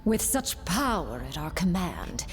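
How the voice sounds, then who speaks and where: A woman's voice: fairly low pitch, big pitch swings, measured pace, a little hiss or hum. A woman playing a cruel alien warrior villain in a video game, menacing and disdainful. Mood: cold contempt.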